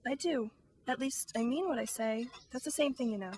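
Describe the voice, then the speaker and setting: A woman's voice: high-pitched, normal speed, darkish tone, breathy edge, dry recording. A woman playing a prim, inquisitive and outspoken young girl in an amateur audio drama. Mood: curious, puzzled. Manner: prim, questioning.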